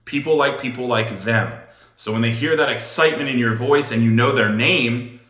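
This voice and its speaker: Guy coaching sales, bright punchy voice, blunt and informal, repeats stuff for emphasis, roomy echo. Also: mid-range voice, normal speed. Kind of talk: instructional talk on phone sales technique. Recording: roomy, echoing room sound.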